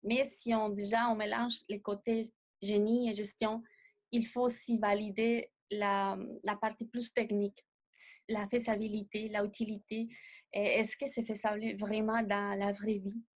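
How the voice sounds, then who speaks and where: A young woman, not a native speaker of the language, answering interview questions as a designer in a measured way and often pausing to find words. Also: medium pitch, flat delivery. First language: not French. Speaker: designer guest.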